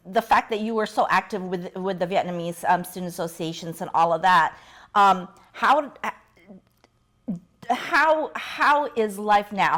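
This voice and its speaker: A woman co-hosting a podcast, asking questions in a halting way with many restarts. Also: medium pitch, a bit bright. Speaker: podcast co-host. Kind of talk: casual interview questions.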